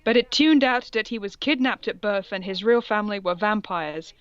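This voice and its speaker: A woman's voice: medium pitch, normal speed, smooth, dry recording, lo-fi sound. A woman reading aloud in a casual comic style, with sardonic, sweary asides and a theatrical touch. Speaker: co-host reading fan fiction aloud.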